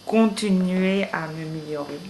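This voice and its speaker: A woman who learned French as an adult, speaking hesitantly with pauses on fillers. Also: lowish voice, darkish tone, slight background noise. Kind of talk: interview testimony.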